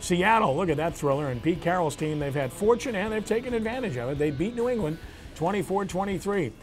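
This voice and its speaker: Man, slightly high voice, sports show host, clipped headline delivery, commentary style. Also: animated, brisk, soft background music. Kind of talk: sports commentary and results rundown.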